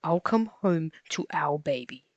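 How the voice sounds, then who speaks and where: A woman's voice: sits low, lively intonation, unhurried, no room sound, highs cut off. A woman playing a tender, resolute male monster in an earnest, solemn amateur audio romance drama. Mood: tender, reassuring.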